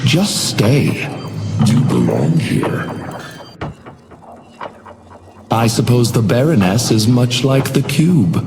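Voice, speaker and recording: An actor voicing a genteel, sinister hotel doorman in a video game, taunting guests in a measured, theatrical monologue with silky menace. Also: mid-range voice, gravelly. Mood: seductively welcoming. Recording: echoing voice over music. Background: music.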